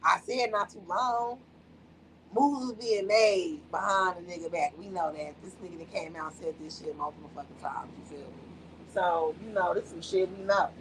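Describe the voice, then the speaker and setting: A woman's voice: lowish voice, normal speed, slight background noise, poor audio. A woman co-hosting a casual podcast chat, blunt and profane, losing her voice. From Las Vegas area. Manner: blunt, profane, emphatic storytelling. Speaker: podcast co-host.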